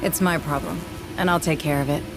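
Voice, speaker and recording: An actress playing a dutiful, determined deputy administrator on a space station in a video game, serious and businesslike. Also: female voice, deep voice, steady tone, brisk, gravelly, music in the background. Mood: weary, guarded. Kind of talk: dramatic video game acting.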